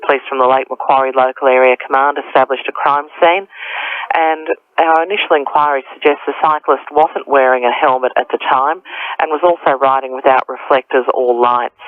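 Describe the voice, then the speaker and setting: A man's voice: mid-range voice, steady tone, brisk, no room sound. Man giving a police statement by phone, official phrasing, tinny narrow sound.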